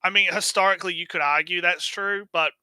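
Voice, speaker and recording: A man's voice: high-pitched, fairly even intonation, fairly quick, pushed and bright, clear, resonant tone, no echo, poor audio. A man giving blunt, sweary, mocking commentary as a casual stream host. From New Zealand. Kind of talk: casual critical commentary on a video.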